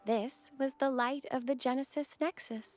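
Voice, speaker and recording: A woman voicing a gentle young female acolyte in a game, courteous and devout, with pleasant, clearly enunciated, formal delivery. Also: high voice, fairly even intonation, natural voice. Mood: solemn, reverent. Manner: formal, measured storytelling. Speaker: game character, guide. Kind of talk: scripted game dialogue and legend narration. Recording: background music under voice.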